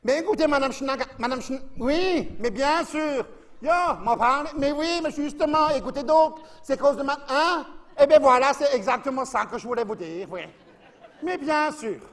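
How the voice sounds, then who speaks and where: A man's voice: extremely high pitch, normal speed, a bit bright. A man playing a chatty middle-aged Alsatian housewife who gossips and confides on the phone, in a comic monologue. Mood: gossiping.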